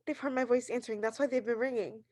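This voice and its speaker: A woman's voice: a little high, steady tone, fast talker, darkish tone, a little hiss or hum, lightly acted. An actress playing a neurotic, bedridden woman who is agitated, pleading and self-pitying, in a 1940s radio thriller style. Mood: anxious, fretful. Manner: agitated, pleading, breaking into outbursts.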